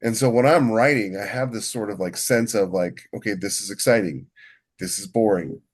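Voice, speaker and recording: A man's voice: fairly low pitch, moderate pace. A man talking casually as a podcast co-host, rambling and often doubling back on his words as he explains his writing. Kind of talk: casual shop talk about writing.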